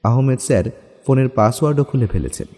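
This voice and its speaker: Animated male narrator with long reverb. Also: mid-range voice, quick pace, breathy edge, faint music behind. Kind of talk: dramatic scripted detective story narration.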